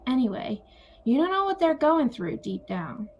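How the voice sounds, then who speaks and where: A woman playing a calm, wry older sister and former literature teacher in an audio play. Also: high voice, normal speed, gravelly, dull audio, full character voice. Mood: calm, wise.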